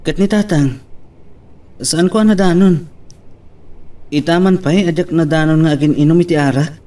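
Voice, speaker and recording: A man's voice: a little high, normal speed, dark tone, close to an everyday voice. A man playing a resentful, ashamed young elder brother in an audio drama, stubbornly refusing to forgive. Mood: sullen, resentful. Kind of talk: Ilocano radio-style drama acting. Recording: distant microphone.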